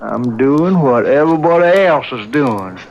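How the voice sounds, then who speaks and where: An actor playing a cynical, self-serving older frontier sheriff with a folksy drawl in a radio drama. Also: male voice, medium pitch, lively intonation, measured pace. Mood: sly, evasive. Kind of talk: 1970s radio drama acting. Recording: dull lo-fi 1970s radio recording.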